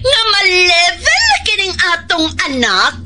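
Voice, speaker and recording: An actress with a Filipino accent playing an angry, haughty, class-proud mother in a radio drama, in a shrill, scolding voice. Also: huge pitch swings, a little slow, very noisy, full character voice. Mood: haughty, indignant. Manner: scolding, forceful, melodramatic.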